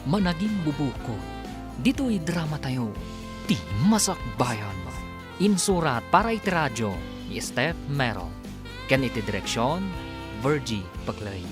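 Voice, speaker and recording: A man's voice: medium pitch, sing-song, measured pace. A man announcing a radio drama serial and its credits in a formal, dramatic scripted style. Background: music.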